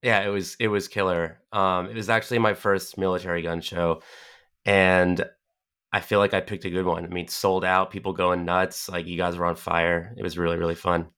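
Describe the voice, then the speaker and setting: A man hosting an interview, chatting in a relaxed, enthusiastic way and often saying "like". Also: low-pitched, moderate pace, smooth. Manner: enthusiastic, chatty, uses "like" a lot. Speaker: podcast host. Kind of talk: casual interview chat.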